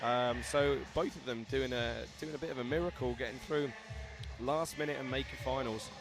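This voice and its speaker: A young man hosting live commentary at a scooter event, excitable and laughing, reading out viewer shout-outs. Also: mid-range voice, normal speed, over music.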